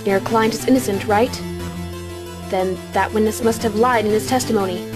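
A woman's voice: medium pitch, steady tone, normal speed, over music, lightly acted. A woman playing a confident mentor lawyer coaching a rookie in an anime-style game fan dub. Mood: encouraging, firm.

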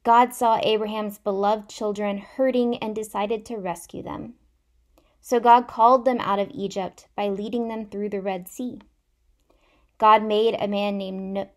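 Slow-paced woman telling a story. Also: medium pitch. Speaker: church storyteller. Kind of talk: storytelling of a Bible tale in simple words. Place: small indoor room at home. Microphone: laptop or webcam at arm's length.

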